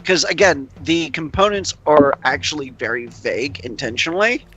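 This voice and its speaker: A man's voice: a little high, animated, moderate pace, bright, forceful tone, lo-fi sound. A man taking part in a casual tabletop roleplaying game, speaking hesitantly and often repeating his words. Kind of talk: casual group roleplaying chat.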